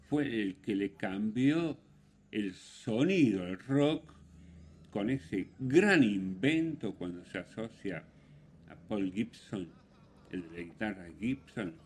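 A man presenting a music radio show with unscripted commentary, speaking in a halting, digressive way and stressing key words, in a husky voice. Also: fairly low pitch, a little mellow.

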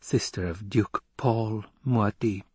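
Man voicing an eerily composed little girl, unhurried. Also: fairly low pitch, breathy edge, no room sound. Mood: cool defiance. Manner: calm, precise, taunting. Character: eerily self-possessed, defiant little girl, coolly malicious. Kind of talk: dramatic audiobook character voice.

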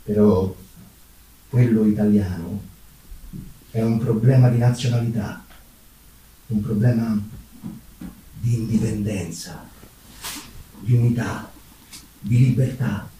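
A man's voice: medium pitch, dark tone, slight background noise, natural voice. Echoey, folksy Roman umbrella mender, acted by a man. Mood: solemn oratory. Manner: folksy, conversational, rhetorical asides to the audience. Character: chatty, self-deprecating working-class Roman umbrella mender of 1848. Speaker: stage actor. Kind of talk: theatrical monologue in dialect. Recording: very echoey room, distant microphone.

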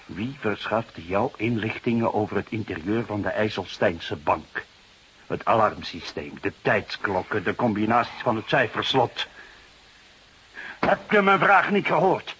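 An actor playing a seasoned, gruff and moralising police detective in a Dutch radio drama. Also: male voice, lowish voice, a little slow, fairly bright tone. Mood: stern. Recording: hissy, noisy radio drama recording.

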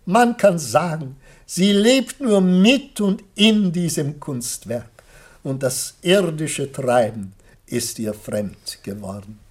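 A proud, middle-aged father and artist in a radio drama, besotted with his own work. His delivery is slow and grandiloquent, with a husky grain and effusive, theatrical phrasing. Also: on the high side, lively intonation, heavily acted. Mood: rapturous pride. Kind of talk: theatrical German radio play acting.

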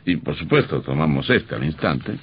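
A man's voice: a bass voice, very animated, normal speed, husky, exaggerated voice. A man playing a wry, skeptical, affectionate husband in a vintage radio drama. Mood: placating. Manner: wry, placating. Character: teasing, skeptical novelist husband, affectionate and dismissive. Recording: dull lo-fi old radio recording.

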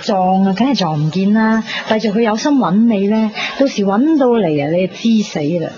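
A woman's voice: mid-range voice, brisk, mellow, lightly acted. An actress with a Hong Kong Cantonese accent playing a chatty, bossy, teasing young female friend in a 1990s radio drama. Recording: dull radio recording, noisy background.

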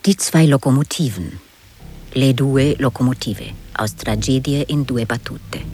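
A man's voice: mid-range voice, measured pace, darkish tone, faint music behind. A man narrating a radio play, reading out titles and stage directions in a scripted, theatrical style.

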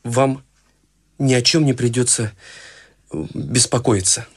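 An actor playing a shy, awkward young man in a studio radio play, speaking gently and haltingly. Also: mid-range voice, breathy edge. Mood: gentle. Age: young adult. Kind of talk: studio radio drama acting.

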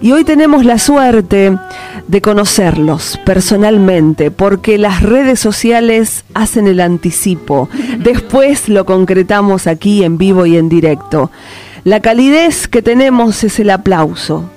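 A woman's voice: medium pitch, animated, unhurried, mellow, soft background music. A woman hosting a live radio interview, speaking in effusive, lyrical and welcoming phrases. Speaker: radio host.

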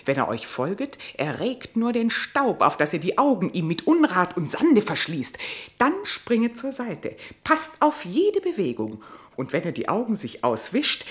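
An actress playing a caring, fussy older female ape who gives coaxing, conspiratorial advice in a radio play. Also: medium pitch.

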